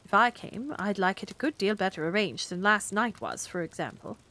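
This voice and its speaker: A woman's voice: mid-range voice, expressive, a little fast, fairly bright tone, natural voice. A woman voicing a prim, easily flustered middle-aged witch who snaps when pressed.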